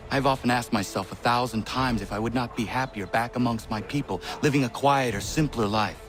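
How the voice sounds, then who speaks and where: An actor playing an earnest, stern young assassin in a video game, speaking in a solemn, formal and measured way. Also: male voice, mid-range voice, over music, close to an everyday voice. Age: young adult. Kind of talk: video game cutscene acting.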